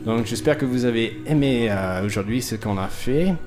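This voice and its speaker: A man hosting a radio show, speaking casually and haltingly as he searches for his words. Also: mid-range voice, music in the background. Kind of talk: casual spoken sign-off.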